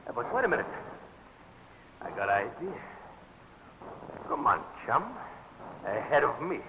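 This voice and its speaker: An actor playing a menacing gangster who barks curt orders, in 1940s radio acting, on a lo-fi old radio broadcast with hiss. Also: male voice, mid-range voice, sing-song, measured pace, creaky. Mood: bullying. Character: menacing gangster man, gruff and threatening.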